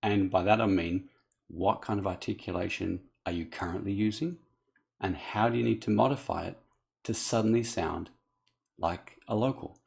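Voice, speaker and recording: A man's voice: deep voice, normal speed, vocal fry, a little mellow. A man from Australia, talking earnestly and unscripted as a coach, often restarting his sentences. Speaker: accent coach. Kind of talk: direct-to-camera appeal.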